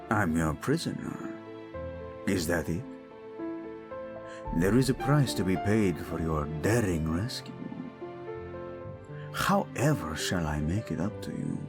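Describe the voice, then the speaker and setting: A man's voice: low-pitched, heavily acted. A man acting as a teasing, devoted king in an intimate romantic audio roleplay, playful and tender with his wife.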